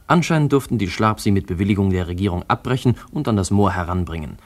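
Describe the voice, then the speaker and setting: Casting: detached archive researcher, lowish voice, clipped radio drama narration. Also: male voice, animated, fast. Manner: clipped, matter-of-fact. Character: detached male researcher studying archive records on screen.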